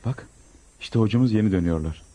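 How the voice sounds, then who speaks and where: A man's voice: lowish voice, fairly quick, mellow, slight background noise, close to an everyday voice. A man playing a hearty, pious dervish companion in Turkish radio theatre, given to exclaiming.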